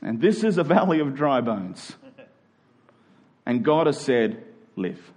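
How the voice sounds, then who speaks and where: A man's voice: medium pitch, big pitch swings, slow, a little mellow. A man preaching a sermon to a congregation in an emphatic style, using rhetorical questions and repetition.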